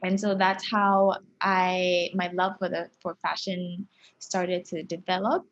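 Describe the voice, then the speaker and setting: A woman from Ghana in her thirties, a fashion stylist answering interview questions thoughtfully, with frequent fillers and soft laughs. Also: fairly low pitch, level tone, slow pace, highs cut off.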